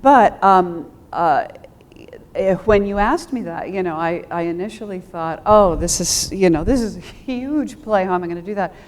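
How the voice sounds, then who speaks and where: A woman with a slightly low voice talks as a theater director in a panel discussion. She is reflective and thoughtful. Her delivery halts and pauses mid-phrase as she works through her ideas. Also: a little hiss or hum.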